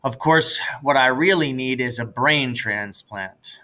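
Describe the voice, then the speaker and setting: A man's voice: mid-range voice, slow, sharp tone. A man voicing a grumbling, teasing country boy in a storybook, with a folksy, exasperated manner. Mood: anxious rehearsing.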